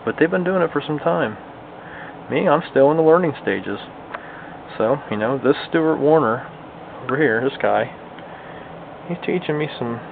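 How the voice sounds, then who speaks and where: A man's voice: mid-range voice, creaky. A man giving a casual, hands-on demonstration to viewers as a hobbyist restorer, talking informally and folksily as if thinking aloud. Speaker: hobbyist radio restorer and video host. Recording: lo-fi, phone-like band, slight background noise.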